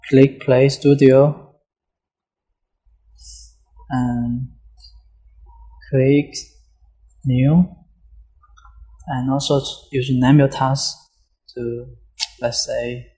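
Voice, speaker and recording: Slow, flat, subdued male software instructor. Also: medium pitch, low-quality recording. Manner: halting, often pausing mid-sentence. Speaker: software tutorial presenter. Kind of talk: unscripted step-by-step software walkthrough.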